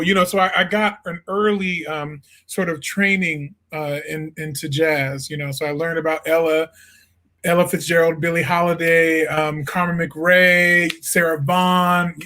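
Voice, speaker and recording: A man's voice: a little high, a bit bright. A young man from the Midwest, a jazz singer, answering interview questions in an easygoing way with frequent laughs and fillers. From Peoria, Illinois. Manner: easygoing, laughs often, many fillers and restarts. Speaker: jazz singer and songwriter, guest.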